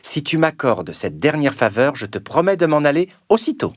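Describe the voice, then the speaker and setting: A man's voice: a little high, sing-song, moderate pace, noisy background. A man voicing a courteous, wheedling talking frog in a children's storybook. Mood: coaxing. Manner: courteous, coaxing. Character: polite, wheedling male talking frog, a prince under a spell. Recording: music under the voice.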